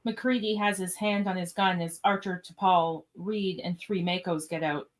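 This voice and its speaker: A woman narrates a scripted radio play. Her voice is on the low side, setting out scenes and movements in a plain, matter-of-fact way. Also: fairly even intonation, normal speed. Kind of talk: scripted stage-direction narration.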